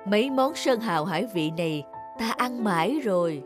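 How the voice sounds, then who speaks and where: Cartoon drama: retired mother played by a woman, gentle, self-sacrificing, reassuring, faint music behind. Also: medium pitch, lively intonation, moderate pace, lightly acted. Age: older.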